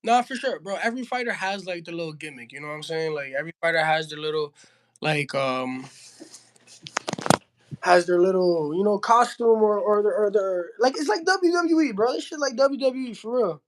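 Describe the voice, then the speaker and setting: High-voiced young boxer, slangy and loose. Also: male voice, normal speed. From Miami, Florida. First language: Spanish. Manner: slangy, says bro a lot, frequent restarts. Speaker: heavyweight boxer. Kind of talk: casual interview.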